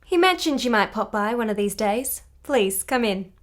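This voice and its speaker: An actress plays a refined young wife in a period radio drama. Her somewhat high voice is polite, articulate and self-possessed, with gracious intelligence. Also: expressive, normal speed, lightly acted.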